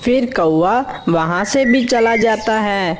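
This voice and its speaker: A woman's voice: mid-range voice, lively intonation, slow, slight background noise. A woman narrator reading a scripted children's story.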